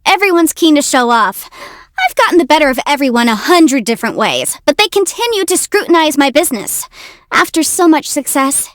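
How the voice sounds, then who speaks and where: A woman voicing a young, quick-witted half-immortal legal adviser in a video game, articulate, self-assured and playful. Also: high voice, no echo, clean studio sound. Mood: playful, proud. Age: young adult. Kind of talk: video game character voice lines.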